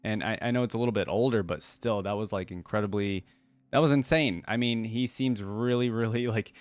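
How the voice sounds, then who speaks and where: A man's voice: on the low side, a little fast, no room sound. A man hosting a reaction video, giving casual, informal commentary like an enthusiastic fan.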